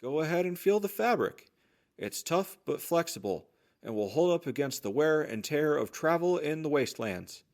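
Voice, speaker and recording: A man's voice: fairly high pitch, expressive, moderate pace, darkish tone. Man playing an eager wasteland shopkeeper. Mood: eager, obliging. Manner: eager salesman's patter. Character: chatty, obliging male general-store trader in a wasteland town. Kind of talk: post-apocalyptic audio drama acting.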